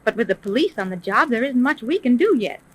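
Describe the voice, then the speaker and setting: High-voiced woman detective, old radio. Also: very animated, fast talker, a bit bright, breathy edge, heavily acted. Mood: brisk, evasive. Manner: dramatic, urgent. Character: woman private detective, urgent, decisive, excitable. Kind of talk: 1930s radio serial acting. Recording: noisy 1930s radio recording.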